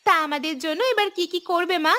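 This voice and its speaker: A woman voicing a lively grown daughter of a village household in a cartoon, brisk and cheerfully familiar. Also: very high-pitched. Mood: cheerful, teasing. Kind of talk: Bengali cartoon voice acting. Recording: music behind the voice.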